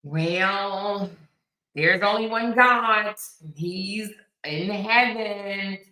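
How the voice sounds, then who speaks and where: Slow-talking woman chat host. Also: mid-range voice, pushed and bright, low-quality recording. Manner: slow, reactive, drawn-out exclamations. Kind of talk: casual online chat.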